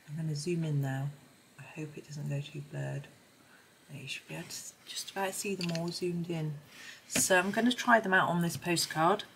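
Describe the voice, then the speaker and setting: Woman, middle-aged, low voice, slight croak, casual unhurried chat, audible background noise. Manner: chatty, unhurried thinking aloud. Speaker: host of a colouring supplies review. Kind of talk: casual chat while demonstrating.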